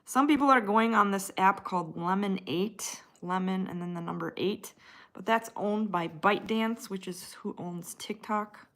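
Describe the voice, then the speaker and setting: A woman's voice: mid-range voice, normal speed, a bit bright. Chatty Midwestern American woman livestreaming. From United States. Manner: chatty, addresses viewers by name, trails between comments. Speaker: livestream host reading and answering viewer chat. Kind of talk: casual live chat with viewers.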